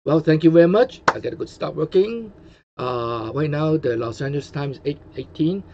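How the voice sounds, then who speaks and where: Male host, Hong Kong accent, non-native English, rambling casual delivery, mellow tone, faint noise on a dull recording. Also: fairly high pitch, expressive, normal speed. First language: Cantonese. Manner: rambling, many fillers and restarts, chuckles often. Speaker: channel host. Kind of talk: casual commentary livestream.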